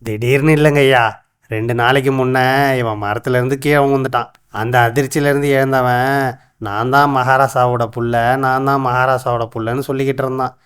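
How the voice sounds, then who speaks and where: Man playing a clear-voiced humble rural Tamil hunter. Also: medium pitch, fairly even intonation, a little fast, a bit bright, natural voice. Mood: humble, earnest. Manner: deferential, colloquial village speech. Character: humble rustic hunter, devoted adoptive father. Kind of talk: animated folktale acting. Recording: music under the voice.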